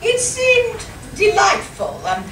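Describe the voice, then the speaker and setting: A woman's voice: extremely high pitch, wildly swooping pitch, slow pace, a bit bright, exaggerated voice. A woman playing a grand, snobbish titled lady in an amateur radio comedy, posh, affected and gushing. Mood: gracious, gushing. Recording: noisy, echoey live room, distant mic.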